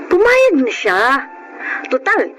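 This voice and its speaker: High, animated, coaxing female friend. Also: takes it slowly, faint music behind, heavily acted. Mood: coaxing, exasperated. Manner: persuasive, expressive. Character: forthright, caring female friend, coaxing and exasperated. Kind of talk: radio drama acting.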